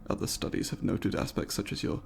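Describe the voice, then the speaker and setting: A man's voice: brisk, darkish tone, slight background noise, lightly acted. A man voicing a polite, eager alien student in an ASMR roleplay, speaking formally and precisely to the listener in an inquisitive, apologetic way. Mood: formal, businesslike.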